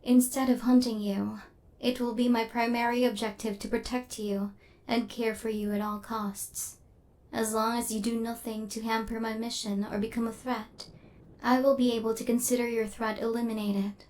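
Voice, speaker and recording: A woman plays a female robot hunter in an intimate ASMR roleplay. Her voice sits fairly high and stays very level, with stiff, formal wording. She is coldly logical, yet possessive and tender toward her human target. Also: normal speed, lightly acted. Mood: calm, protective. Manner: formal, clipped machine-like phrasing, deadpan.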